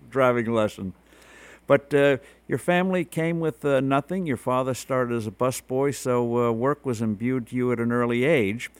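A man hosting an interview show, giving political commentary and asking questions in a measured, conversational way. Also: mid-range voice, no room sound. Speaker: host.

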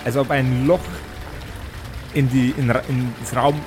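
A man acts as game master for a fantasy tabletop game, narrating scenes and calling for checks. His voice is mid-pitched and his delivery unhurried. Music plays clearly behind him. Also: level tone.

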